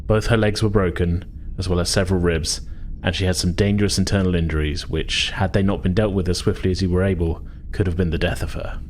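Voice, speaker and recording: A man's voice: low voice, a little mellow, slight background noise. A man narrating a tabletop science fiction game as game master and host.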